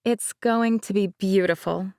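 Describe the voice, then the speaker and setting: A woman's voice: mid-range voice, unhurried, a little mellow, clear, resonant tone, no room sound, natural voice. A direct, earnest young wedding planner with a firm, plain delivery, as a woman reads her lines. The sound is close and dry, with no room around it.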